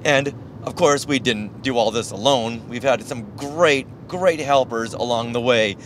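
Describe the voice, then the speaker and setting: A man's voice: medium pitch, a little slow, a little hiss or hum. A man with an American accent co-hosting a podcast in casual, chatty style. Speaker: podcast co-host. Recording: music behind the voice. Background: music.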